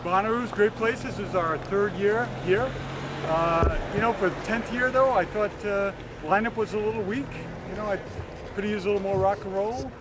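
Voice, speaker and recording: A man's voice: high-pitched, moderate pace. Hesitant man, "you know" fillers. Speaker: festival-goer. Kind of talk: casual interview. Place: outdoors at a music festival. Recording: crowd noise.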